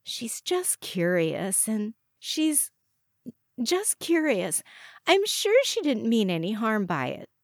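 A woman voicing a patient, placating young mother in an audiobook, with a gentle, reasoning manner. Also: a little high, big pitch swings, measured pace, no echo, clean studio sound, pitched above the performer's own voice. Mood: gently placating.

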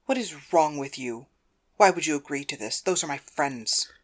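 Woman performing a controlling mistress of the house, lowish pitch, stern, commanding, audiobook dialogue. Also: animated, moderate pace. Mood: demanding, hurt. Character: domineering, controlling wife and mistress interrogating her husband.

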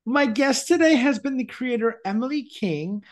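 A man's voice: very high voice, lively intonation, normal speed. A man hosting an interview podcast, speaking in a polished, announcing style. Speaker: podcast host.

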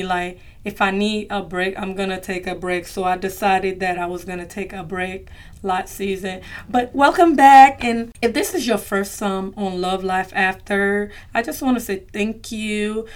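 A young woman talks to her listeners in a relaxed solo podcast. She is chatty and heartfelt, uses many fillers and calls her listeners y'all, and her voice is slightly strained after she recently lost it. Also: mid-range voice. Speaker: podcast host. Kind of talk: casual solo podcast talk.

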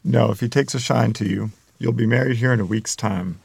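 A middle-aged Midwestern father acted out by a man in a period drama table read. The voice is thick with creak at a medium pitch. He is gruff and folksy, always on the defensive. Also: a little fast, a little mellow, slight background noise. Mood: stubborn, defensive. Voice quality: gravelly, creaky. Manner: folksy, plainspoken rural speech. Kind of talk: table-read screenplay acting.

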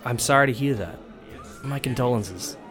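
A man's voice: medium pitch, normal speed, close to an everyday voice. Man playing an earnest husband over music. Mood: supportive. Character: supportive but wounded middle-aged husband and father. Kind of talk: audio drama acting.

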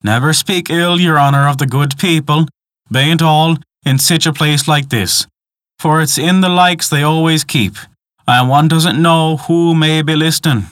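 A man's voice: on the high side, unhurried, a bit bright, no room sound, clean studio sound. A man voicing an old peasant woman, a superstitious, folksy guide telling tales in dialect for a storybook. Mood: hushed warning.

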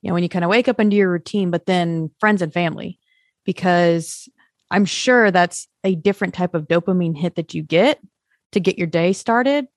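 A woman's voice: lowish voice, normal speed, no echo, clean studio sound. A woman hosting an interview podcast, enthusiastic and affirming as she sets up questions for her guest. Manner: enthusiastic, frequent "I love it". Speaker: podcast host.

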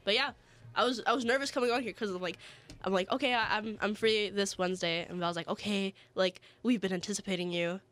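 A woman's voice: mid-range voice, steady tone, fast talker, fairly bright tone. A young woman on a radio show as a guest, sounding a little nasal as she gets over a cold, telling teasing stories and acting out what people said. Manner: teasing storyteller, acts out quotes. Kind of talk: casual chat.

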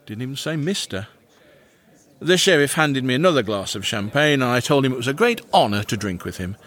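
A man playing a fussy, self-important Victorian English gentleman, narrating his comic diary with precise, earnest pomposity. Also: medium pitch, big pitch swings, brisk.

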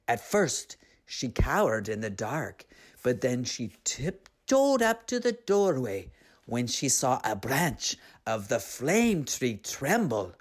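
A woman storyteller with a low voice and very lively intonation. She narrates a scripted tale expressively, taking her time over it at a slow pace.

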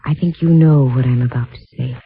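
A woman's voice: extremely low pitch, fairly quick, slight background noise. Hard-boiled lady detective, old radio. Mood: firm, probing. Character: sharp, self-assured female private detective, grown woman. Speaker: lead actress and narrator. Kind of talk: 1950s radio detective drama acting. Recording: dull, lo-fi 1950 radio recording.

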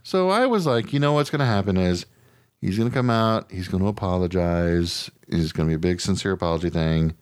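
A middle-aged American man with a fairly low voice chats on a podcast. He tells stories in a blunt, profane, informal way, slipping into mimicked quotes. The sound is dry, with little room around him. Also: fairly quick, clean tone, no room sound. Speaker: podcast co-host. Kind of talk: casual chat.

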